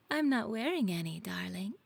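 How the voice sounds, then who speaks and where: A woman's voice: on the high side, gravelly, a little hiss or hum, heavily acted. A woman playing a flirtatious, self-assured perfume maker in a video game, with a coy, teasing, theatrical delivery. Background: video game music.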